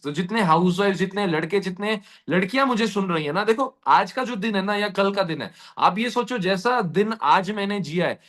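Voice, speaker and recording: A man giving a motivational pitch directly to his online audience, repeating points for emphasis. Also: a bit bright. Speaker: presenter of an earning opportunity session.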